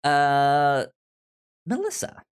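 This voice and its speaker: Halting, stammering male podcast host, unhurried. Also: a little high, wildly swooping pitch, clean tone. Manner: halting, stammering, repeats words. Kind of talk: casual review discussion.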